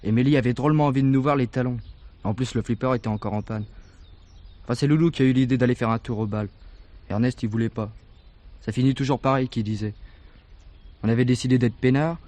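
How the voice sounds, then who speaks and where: A man's voice: mid-range voice, fairly even intonation, fast talker, long pauses, a little mellow, no room sound, close to an everyday voice. An actor playing a cheeky, defensive working-class teenage boy in a French radio drama, slangy and offhand. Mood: offhand, grudging.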